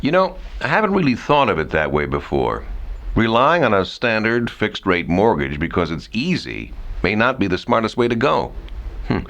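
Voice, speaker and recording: A man delivers a client's side of a scripted conversation. His voice is on the low side and moves up and down a lot, with a deliberate, pondering delivery. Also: big pitch swings, a bit bright. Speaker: client in a played clip. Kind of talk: scripted dialogue.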